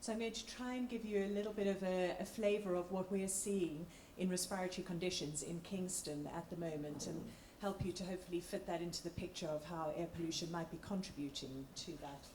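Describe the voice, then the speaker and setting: A woman doctor with a slightly croaky voice, giving an informal, somewhat hesitant public health presentation. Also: on the low side, steady tone, fairly quick, hardly any pauses, darkish tone. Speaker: doctor, clinical respiratory lead. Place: large indoor room at a public meeting. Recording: echoey room with background noise.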